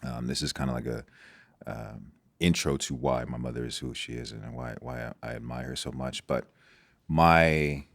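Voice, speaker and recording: Very deep, gravelly Nigerian-born man. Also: sing-song, normal speed, a bit bright, dry recording. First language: Igbo. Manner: reflective, with many restarts and repeated words. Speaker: guest. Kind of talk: casual interview talk.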